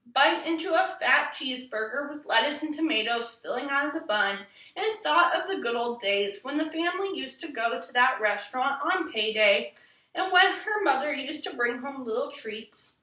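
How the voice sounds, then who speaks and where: A woman reading a children's book aloud in an expressive, animated storytelling manner. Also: sits high, normal speed. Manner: animated, acts out the quoted lines. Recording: roomy echo, distant from the mic.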